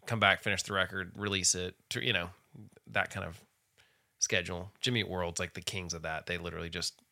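Man, podcast host, bright sharp tone, casual rambling talk, animated storytelling. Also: low-pitched, fairly quick, creaky. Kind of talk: casual chat about music.

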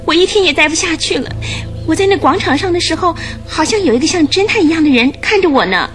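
A distraught young bride in a radio drama. She pleads in a high, emotional voice, full of worry, with faint music behind her. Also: expressive, fast talker, a bit bright. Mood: urgent, frightened. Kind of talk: Chinese radio drama acting.

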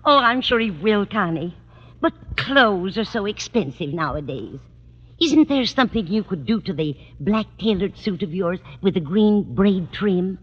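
An actress playing a fussing, motherly older landlady with a husky voice in an old radio sitcom. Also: medium pitch, animated, unhurried, a little hiss or hum, close to an everyday voice. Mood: fussing, concerned. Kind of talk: 1950s radio sitcom acting. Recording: dull, reverberant old radio recording.

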